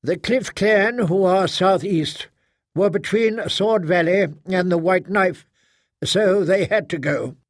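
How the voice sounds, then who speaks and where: A man performs a self-assured proctor of a warrior faith in a storybook reading. The voice is lifted high and declamatory, formal and persuasive, recorded close and dry. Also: slow, no echo. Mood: assured, explaining. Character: confident male military-religious leader, formal and persuasive.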